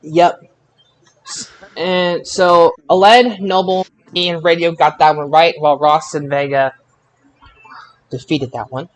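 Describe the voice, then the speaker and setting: A man hosting a casual podcast results recap, haltingly, often repeating words as he recalls details. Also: on the high side, steady tone, rough recording. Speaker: podcast host.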